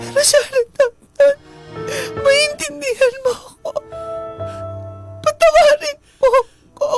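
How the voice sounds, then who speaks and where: A man's voice: very high-pitched, creaky, pitched above the performer's own voice, heavily acted. A man playing a guilt-ridden wife who pleads tearfully in an improvised radio melodrama. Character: guilt-ridden, pleading wife confessing a long-kept secret. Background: background music.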